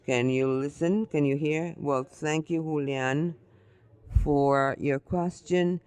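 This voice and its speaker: A man answering a question as a conference panelist, halting and full of "uh" fillers. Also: fairly high pitch, fairly even intonation, darkish tone, smooth, dry recording. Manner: slow and halting, with many uh fillers.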